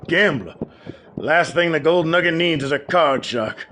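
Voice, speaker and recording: An actor playing a proud, brusque town sheriff in a radio drama. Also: male voice, on the high side, animated, unhurried, pushed and bright, a little hiss or hum. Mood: gruff. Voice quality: brusque, commanding.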